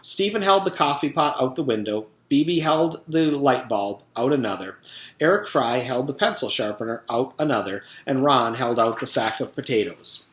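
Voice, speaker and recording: A man narrates a children's story as a teacher would to a class. His reading is expressive, with lively pitch movement. The room gives his voice an audible echo. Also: moderate pace. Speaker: teacher reading aloud to pupils.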